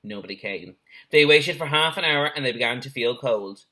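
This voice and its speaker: Male teacher for children's storytime, expressive narration with small asides, bright, forceful tone. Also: medium pitch, normal speed. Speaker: teacher reading a story to pupils. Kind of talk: animated children's storybook reading.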